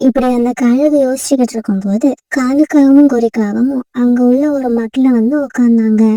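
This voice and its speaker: A woman narrating a children's cartoon story in a steady, storytelling way. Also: fairly high pitch, brisk, no echo, recorded from a distance, low-quality recording.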